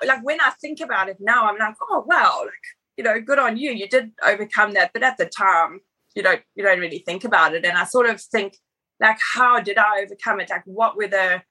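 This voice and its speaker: A woman's voice: medium pitch, pushed and bright, husky, poor audio. A woman from South Africa, with an accent that mixes South African and Australian, chatting easily as a podcast guest and laughing at herself. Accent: mixed South African and Australian. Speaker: podcast guest, personal trainer. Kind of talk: casual interview chat.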